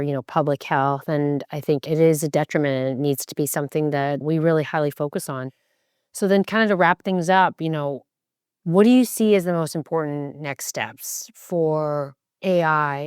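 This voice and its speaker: A woman's voice: low-pitched, clean tone, dry recording, studio quality. A woman hosting an interview podcast in a conversational style, commenting and putting questions to her guests.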